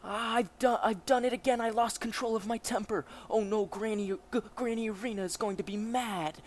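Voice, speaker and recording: A man reads as a young English gentleman from a comic, well-mannered and firm, fearless, with a temper underneath. Light noise sits behind the slightly high voice. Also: steady tone, fairly quick, lightly acted. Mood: rueful, panicked. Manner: courteous, firm.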